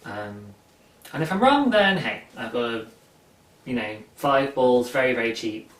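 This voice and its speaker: A man's voice: medium pitch, animated, a little slow, a bit bright, distant mic. Chatty young man in an echoey room. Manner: chatty, rambling, self-amused, many ums. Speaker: knitting podcast host. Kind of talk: casual chat. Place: living room, seated on a sofa. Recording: echoey room, voice slightly off-mic.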